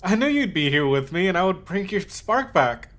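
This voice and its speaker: A man playing an obsessive, possessive, lovesick yandere in a scripted ASMR roleplay, speaking haltingly with cooed endearments. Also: high voice, big pitch swings. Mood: giddy, excited. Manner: halting, cooing endearments, swings from hushed to insistent.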